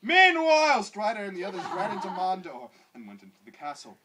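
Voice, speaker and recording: A woman's voice: mid-range voice, wildly swooping pitch, a little fast, background noise. A woman giving an over-dramatic comic reading of bad fan fiction, with exaggerated emphasis that often verges on laughter.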